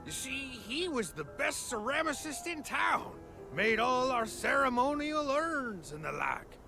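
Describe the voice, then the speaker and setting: High-voiced, creaky, folksy villager storyteller. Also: male voice, very animated, a little slow, pushed and bright, exaggerated voice. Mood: folksy explaining. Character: folksy talkative male villager storyteller, easygoing and peaceable. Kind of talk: video game cutscene acting. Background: background music.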